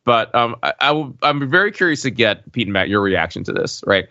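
A man in a casual podcast discussion, thinking aloud in a halting way as a panelist. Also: mid-range voice, expressive, sharp tone, no room sound, muffled recording.

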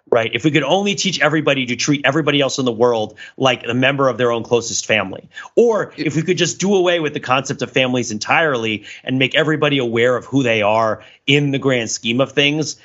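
A man's voice: medium pitch, a bit bright, lo-fi sound. A middle-aged man co-hosting a podcast, talking through ideas in a casual, rambling, analytical way and often checking in with "right?". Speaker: podcast co-host.

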